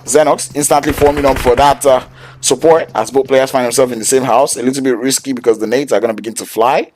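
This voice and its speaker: A man, a Yoruba speaker, calling an esports match play-by-play in an excitable, slangy way. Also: mid-range voice, fast talker, a little hiss or hum. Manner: excitable, slangy, jokes with chat. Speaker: esports caster.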